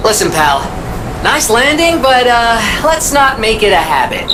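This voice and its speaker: A woman's voice: fairly high pitch, big pitch swings, a little slow. A woman playing a chummy, inquisitive adventuring companion in a video game, earnest and chatty. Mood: eager, curious. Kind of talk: video game character acting. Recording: loud ambient noise behind.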